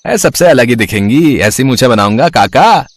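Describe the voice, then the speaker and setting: A man voicing a foolish, overconfident young barber's son, boastful and earnest, in a Hindi animated story. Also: mid-range voice, normal speed, no echo, studio quality, close to an everyday voice.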